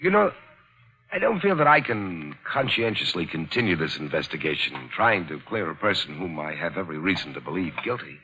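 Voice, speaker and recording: A skeptical amateur detective in a vintage radio play, with a lowish, bright voice that moves lively through its range. He reasons aloud and sometimes stumbles over his words. The sound is dull and lo-fi. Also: fairly quick, full character voice. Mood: measured. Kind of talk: 1940s radio acting. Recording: dull lo-fi 1940s radio recording.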